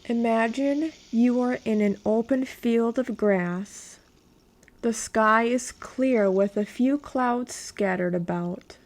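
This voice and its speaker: A woman narrating a guided meditation in a calm, measured, instructional way. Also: on the high side.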